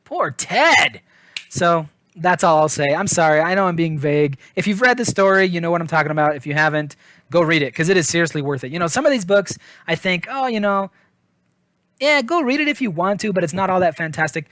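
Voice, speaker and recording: A man's voice: sits high, animated, fairly quick, a bit bright, no echo. A man giving a casual book review in an informal, chatty, self-deprecating way.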